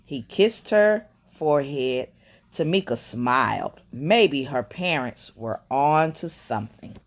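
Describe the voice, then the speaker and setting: Slow-paced woman reading a bedtime story. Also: rumbling low. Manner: slow, expressive reading with quiet murmured asides. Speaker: storyteller reading a picture book aloud. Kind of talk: bedtime story read-aloud with comments on the pictures.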